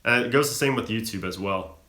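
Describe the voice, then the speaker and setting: A man's voice: on the low side, a little fast, pushed and bright, roomy sound. A young man from the American South, hosting a live stream and reading viewer questions aloud before answering them offhand. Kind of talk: casual live Q&A chat.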